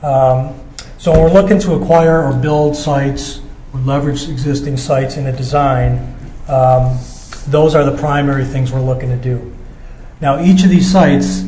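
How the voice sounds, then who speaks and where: A man's voice: medium pitch, mellow. An American man giving an explanatory technical presentation as a company representative, checking in with his listeners as he goes. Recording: echoey room with background noise.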